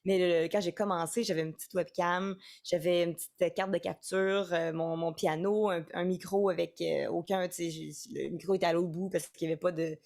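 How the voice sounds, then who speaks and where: A woman's voice: on the low side, steady tone, moderate pace, gravelly, few pauses, a little mellow. A young woman with a Quebec French accent, a singer-songwriter chatting casually in an interview and often restarting her words.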